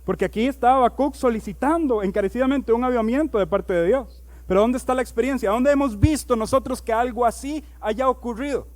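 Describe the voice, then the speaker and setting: A male pastor preaching a sermon. He reads scripture aloud, slows down on key words, and builds to loud, emphatic preaching. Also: very high-pitched.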